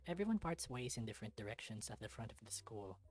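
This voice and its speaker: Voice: mid-range voice, sing-song, quick pace, darkish tone, soft background music. A let's-play host reading a visual novel aloud, moving between storybook-like narration and casual commentary.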